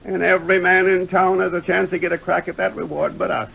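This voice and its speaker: An actor playing a proud, excitable old prospector in a radio western, gravelly, with a folksy American frontier way of talking. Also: male voice, high-pitched, fairly quick, heavily acted. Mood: indignant. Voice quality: gravelly, creaky old-timer voice. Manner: folksy frontier dialect, crotchety. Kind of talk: 1930s-40s radio western acting. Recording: noisy vintage radio recording.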